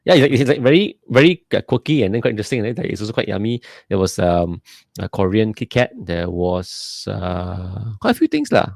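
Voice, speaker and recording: A man's voice: lowish voice, big pitch swings, vocal fry, dry recording, studio quality. A man co-hosting a podcast, rambling in casual chat with lots of fillers and restarts. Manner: rambling, halting with many fillers and repeats. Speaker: podcast co-host.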